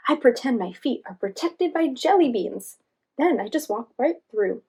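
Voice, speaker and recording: A woman's voice: high-pitched, lively intonation, moderate pace, darkish tone, slightly rough, pitched above the performer's own voice, heavily acted. Woman as cheerful storybook animal friend, helpful, reassuring, lifted voice.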